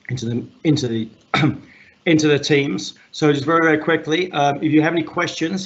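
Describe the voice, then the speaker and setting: A man hosting an online meetup in casual talk. He has a loud, projecting voice and rambles and jokes, with lots of fillers. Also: mid-range voice, lo-fi sound. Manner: rambling, filler-heavy, joking. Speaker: meetup host.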